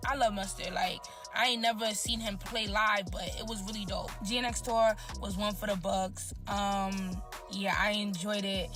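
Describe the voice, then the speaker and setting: A woman in casual chat, telling a story in an animated, slangy, expressive way. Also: mid-range voice, normal speed, hardly any pauses, music in the background.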